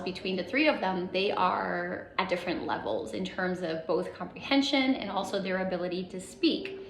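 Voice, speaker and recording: A woman whose first language is Portuguese, explaining things informally as a homeschooling mom on a vlog, halting and reflective, often checking in with a questioning tag. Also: mid-range voice, fairly bright tone. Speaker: homeschooling mother and video host. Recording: echoey room with faint background noise.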